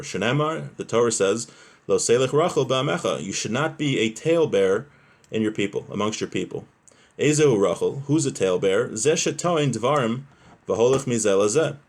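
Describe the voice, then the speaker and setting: A man's voice: mid-range voice, expressive, a little fast. A man giving an informal religious law lesson, explaining as he goes and using Hebrew terms and phrases. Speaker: rabbi teaching a religious law class. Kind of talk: informal lesson, reading a text aloud and explaining.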